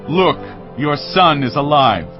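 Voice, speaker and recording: A man's voice: on the high side, lively intonation, slow, dull audio, faint music behind. A man playing a resolute, commanding prophet in a dramatised audio Bible, speaking in an earnest, declamatory way.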